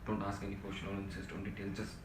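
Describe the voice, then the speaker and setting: An actor playing an exhausted, self-pitying middle-aged civil servant in a stage play, pleading and ranting melodramatically. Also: male voice, low voice, monotone, racing, close to an everyday voice. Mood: weary pleading. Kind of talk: theatrical stage-play dialogue. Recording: echoey room, distant mic, loud noise.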